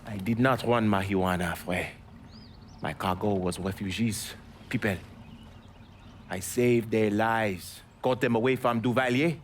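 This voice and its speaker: Haitian smuggler, played by a man: low voice, sardonic, proud, needling, faint background noise. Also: fairly even intonation, unhurried, close to an everyday voice. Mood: resentful. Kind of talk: video game cutscene acting.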